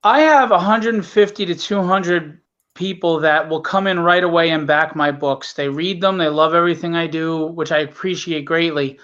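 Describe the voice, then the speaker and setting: A man's voice: on the high side, moderate pace, fairly bright tone, lo-fi sound. A middle-aged man talking casually and bluntly as an interview guest, earnest and emphatic about his work as an indie publisher. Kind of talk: casual conversational talk.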